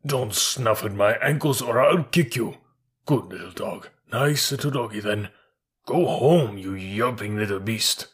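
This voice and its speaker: A man's voice: lowish voice, a little slow, breathy edge. A man voicing a nervous, easily offended male elephant in a storybook, fussy and self-pitying in manner. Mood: flustered, fearful.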